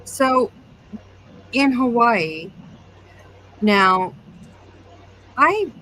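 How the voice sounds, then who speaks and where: Female co-host, unhurried halting questions, conversational, faint noise in the background. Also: on the low side, bright, forceful tone, low-quality recording. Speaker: podcast co-host. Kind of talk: casual interview chat.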